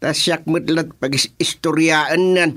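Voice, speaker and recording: A man's voice: fairly high pitch, steady tone, takes it slowly, fairly bright tone. A man acting an easygoing, chatty village father with an Ilocano accent in a radio comedy drama.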